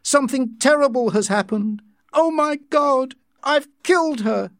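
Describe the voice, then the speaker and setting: A man reading an audiobook as an anguished young man, pleading and shouting with great fervour. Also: extremely high pitch, unhurried, no echo, clean studio sound, pitched above the performer's own voice, full character voice. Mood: panicked. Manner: loud, pleading, fervent shouting. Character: anguished young man, an impassioned outsider called the savage.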